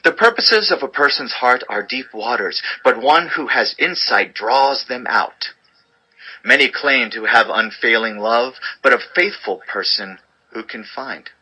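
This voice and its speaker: A man narrating an audio Bible as a dramatized scripture reading, with measured, declamatory delivery of short sayings. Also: mid-range voice, animated, bright, forceful tone, husky, low-quality recording.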